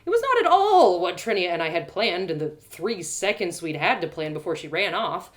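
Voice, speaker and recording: Woman, storyteller, first-person narration, bubbly, animated, lively pitch. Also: normal speed. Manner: animated, bubbly, jumping between excited exclamations and hushed asides. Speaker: storyteller and host. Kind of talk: live reading of her own audio drama, first-person narration.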